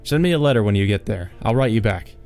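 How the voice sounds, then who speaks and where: A man playing a wry, fond young man in a visual novel, offhand and gently exasperated. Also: lowish voice, lively intonation, fast talker, faint music behind. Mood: wry, reassuring.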